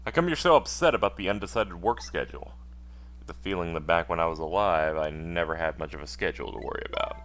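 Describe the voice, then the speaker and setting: A man's voice: deep voice, quick pace, fairly bright tone. A man voicing a sardonic, probing amnesiac dead man in a detective game. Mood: puzzled, wry. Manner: sardonic, questioning, pressing. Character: wry amnesiac dead man, a needling amateur investigator.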